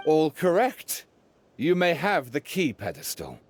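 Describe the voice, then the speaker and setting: An actor playing a showy, declamatory quizmaster who poses solemn riddles in a video game. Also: male voice, fairly high pitch, wildly swooping pitch, unhurried, slightly rough, heavily acted. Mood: proclaiming. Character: theatrical male quizmaster posing solemn riddles. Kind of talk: video game character acting.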